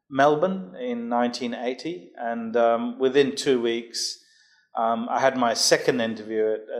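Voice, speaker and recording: A man in his sixties from Jamaica talks through his working life. He speaks in a measured, unhurried way, pausing often with ums and uhs. His voice sits at an ordinary middle pitch. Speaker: guest. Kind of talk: podcast interview, recounting his career.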